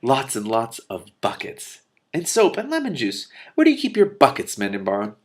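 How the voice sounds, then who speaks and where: A man's voice: mid-range voice, huge pitch swings, moderate pace. Man acting a forthright, practical storybook princess.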